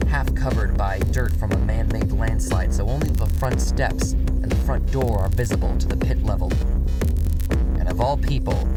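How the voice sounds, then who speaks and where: A man narrating a first-person noir audio drama in a wry, cynical voice with drawn-out phrasing. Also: medium pitch. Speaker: narrator and writer. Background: music.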